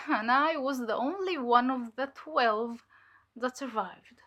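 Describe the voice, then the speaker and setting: Casting: actress as haunted female undercover agent, higher-pitched, halting and emotional, fearful and guilt-ridden, audio drama testimony. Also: fairly bright tone. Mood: grim, regretful.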